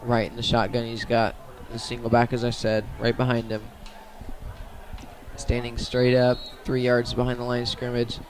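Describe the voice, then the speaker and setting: A man doing live radio play-by-play of a high school football game in clipped, descriptive phrases. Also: completely flat delivery, normal speed. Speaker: play-by-play sports commentator.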